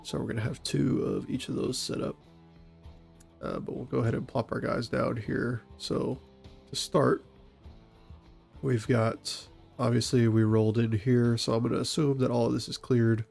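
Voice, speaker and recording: Gravelly stuffy man explaining game tactics. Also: medium pitch, moderate pace, faint music behind. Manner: calm, methodical explaining of tactics. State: stuffy from a cold. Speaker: gaming channel host and SWAT operator. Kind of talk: tactical gameplay commentary.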